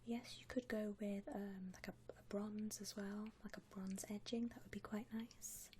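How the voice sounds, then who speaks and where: A woman whispering breathily in an ASMR roleplay as a polite shop assistant, gently reassuring a customer with hesitant, accommodating patter. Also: medium pitch, flat delivery, normal speed, a little hiss or hum. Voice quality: breathy, mostly unvoiced whisper. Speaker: ASMR roleplayer playing a coffin shop saleswoman.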